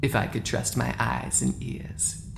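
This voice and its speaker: A woman playing a pondering pony in an audio drama, gravelly and deliberate, unsure of the facts. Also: extremely low pitch, monotone, a little hiss or hum, full character voice. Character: slow, uncertain, musing pony.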